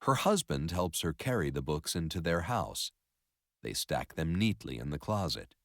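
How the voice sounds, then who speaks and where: A man narrating a story in an expressive educational read-aloud style. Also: extremely low pitch, normal speed, dry recording, clean studio sound.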